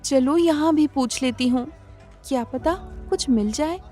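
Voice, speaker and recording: Woman voicing a high-pitched, guarded young college girl. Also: measured pace, mellow, faint music behind. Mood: hopeful. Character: young female college student, curious, wary and guarded. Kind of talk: Hindi audio horror drama acting.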